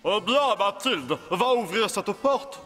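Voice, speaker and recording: A man's voice: high voice, animated, slow, pushed and bright, exaggerated voice. A man voicing a stern, weary king and father in a children's fairy-tale cartoon, formal and commanding. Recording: long reverb, faint music behind.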